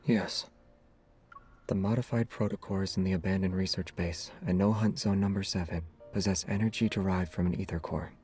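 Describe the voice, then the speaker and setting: A calm, secretive young hunter from a story game, played by a man, with a deep, mellow voice. He is reserved and nonchalant, taking his time over soft music. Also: moderate pace, close to an everyday voice. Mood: calm, probing. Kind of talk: scripted game dialogue.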